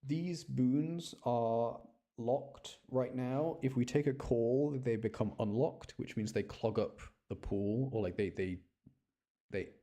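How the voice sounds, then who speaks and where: A man's voice: mid-range voice, slow, mellow. A man giving casual gameplay commentary, talking to himself and explaining his choices as he rambles along. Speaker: gaming content creator.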